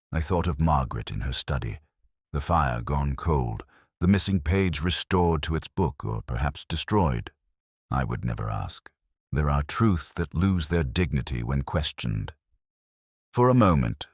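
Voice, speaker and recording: Very deep solemn male narrator, close and dry. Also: no echo. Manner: measured, literary, solemn. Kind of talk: first-person scripted detective story narration.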